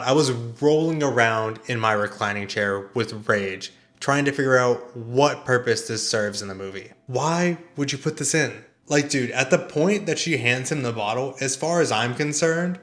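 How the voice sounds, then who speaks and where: A man's voice: mid-range voice, moderate pace, few pauses, a bit bright. A man delivering scripted video essay commentary in a conversational, exasperated way with emphatic rhetorical questions. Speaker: video reviewer and host.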